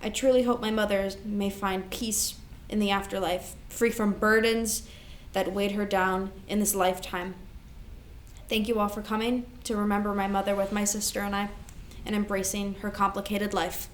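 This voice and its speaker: A woman's voice: mid-range voice, little pitch movement, moderate pace, a little hiss or hum, lightly acted. A woman playing a responsible, composed elder sister grieving her mother in a staged play reading. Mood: solemn, measured.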